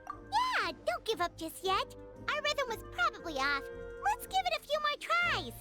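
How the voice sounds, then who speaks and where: A woman's voice: huge pitch swings, a little slow, loud music behind the voice, heavily acted. A woman voicing a tiny childlike fairy companion in a video game, perky, cheerful and encouraging. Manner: squeaky, perky.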